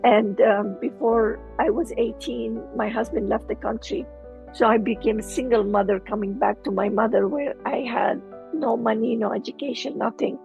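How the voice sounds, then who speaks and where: A middle-aged woman with a Lebanese accent, telling her personal story as an interview guest, halting as she searches for words. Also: fairly high pitch, fairly even intonation, gravelly, dull audio, soft background music.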